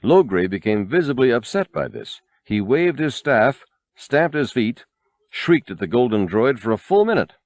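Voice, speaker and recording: A man narrating a novel as an audiobook in a dramatic, expressive and measured storytelling style. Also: medium pitch, dry recording.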